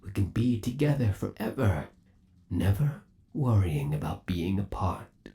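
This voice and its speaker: A man's voice: deep voice, sing-song, unhurried, vocal fry, warm tone, full character voice. A man playing an undead animatronic boyfriend in an ASMR roleplay, coaxing and theatrical, lingering on endearments with eerie, possessive devotion. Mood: soothing, obsessive. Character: undead animatronic boyfriend, obsessively devoted, eerily tender yandere.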